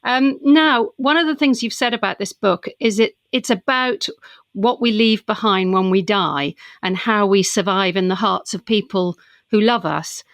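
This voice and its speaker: A woman's voice: medium pitch, measured pace, no echo, studio quality. A woman hosting a conversational book interview, asking thoughtful questions in a slightly hesitant way. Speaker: interviewer, podcast host.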